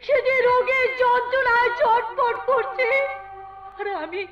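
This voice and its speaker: Shrill grieving Bengali mother, very high-pitched. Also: fairly even intonation. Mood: frantic grief. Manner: wailing, drawn-out cries, repeated broken phrases. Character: grief-stricken poor mother and wronged wife, pleading desperately. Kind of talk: melodramatic Bengali folk theatre acting. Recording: dull lo-fi recording under loud music.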